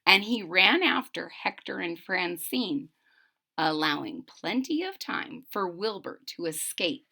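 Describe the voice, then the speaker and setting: A woman reading a picture book aloud to children in a dramatic, animated way, stopping to explain things. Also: lowish voice, slow, vocal fry. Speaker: children's story reader. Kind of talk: expressive read-aloud with explanatory asides to children.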